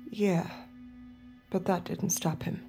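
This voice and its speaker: A young American android woman in a naturalistic audio drama. Her voice is soft and mellow, hushed and earnest, pleading for help as she guards a secret. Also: lowish voice, steady tone, takes it slowly, faint music behind. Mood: hushed, confessional.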